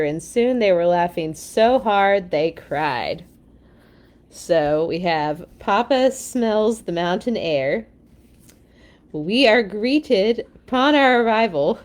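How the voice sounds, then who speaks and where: A woman's voice: medium pitch, expressive, slow, darkish tone. A woman hosting a children's read-along, reading stories expressively and dropping in casual asides.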